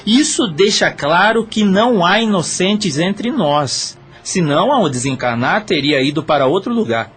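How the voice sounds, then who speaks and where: A man's voice: high voice, expressive, unhurried, hardly any pauses, a bit bright, slightly rough, faint music behind, full character voice. A man playing a murdered brothel owner and killer who confesses matter-of-factly in an audio drama. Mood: explaining. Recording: faint background noise.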